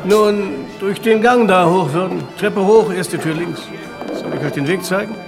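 Chatty, high-pitched helpful local. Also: male voice, unhurried. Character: chatty, obliging local man giving directions. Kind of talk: German radio drama acting. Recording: radio drama with clear music behind.

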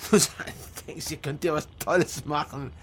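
An actor playing a weary, disillusioned East German investigator in a radio crime drama, with terse, sober phrasing. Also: male voice, on the high side, steady tone, moderate pace, slight background noise, close to an everyday voice. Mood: bitter, pleading. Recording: studio drama with music behind.

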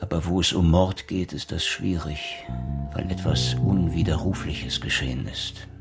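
An actor playing an earnest, reflective police inspector in a radio crime drama, speaking in a measured way. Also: male voice, deep voice, music in the background. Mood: grave, reflective.

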